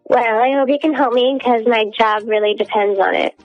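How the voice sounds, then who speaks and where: Female caller, informal and hesitant, creaky voice, music bed underneath. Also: a little high, fairly quick, highs cut off. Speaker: radio show caller. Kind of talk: casual storytelling.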